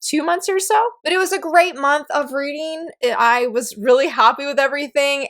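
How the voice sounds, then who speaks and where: A woman in her thirties, stuffy and slightly nasal from a virus, chatting eagerly about manga she has read. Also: fairly high pitch. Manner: enthusiastic and chatty. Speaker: manga reviewer. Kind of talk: casual reading wrap-up vlog.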